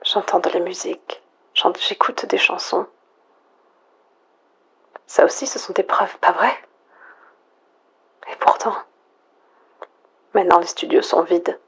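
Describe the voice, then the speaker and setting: A woman playing a lonely, frightened last survivor, murmuring a halting, introspective audio log in a breathy, husky near-whisper. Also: on the low side, fairly even intonation, heavily acted. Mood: lonely, bewildered. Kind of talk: hushed monologue, analog horror audio log.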